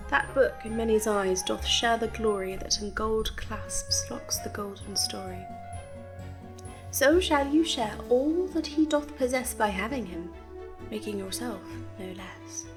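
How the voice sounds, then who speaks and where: An actress playing a composed, commanding noblewoman mother, speaking formal, persuasive verse in a Shakespearean audio play. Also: on the high side.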